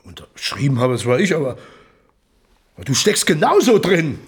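Cynical village businessman, radio drama. Raspy, very animated, slightly high male voice. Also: moderate pace, heavily acted. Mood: indignant. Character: gruff, cynical small-town businessman, crooked and irritable.

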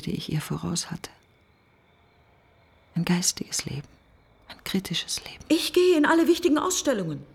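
A woman's voice: deep voice, vocal fry, breathy edge, heavily acted. An actress playing a grieving, self-accusing woman who broods in fragmented questions in a literary radio play monologue. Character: grieving, self-accusing woman mourning her best friend.

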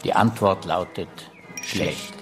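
An actor playing a grave observer of revolutionary France in a radio drama. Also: male voice, low voice, takes it slowly, over loud music. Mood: grim.

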